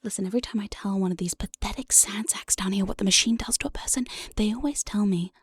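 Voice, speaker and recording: A woman playing an evil, playful demon jailer in an ASMR roleplay, breathy and often whispering, with a sly, mock-sweet menace, close on the microphone. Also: mid-range voice, fast, no room sound. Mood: mocking, exasperated. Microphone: close binaural studio microphone, dry.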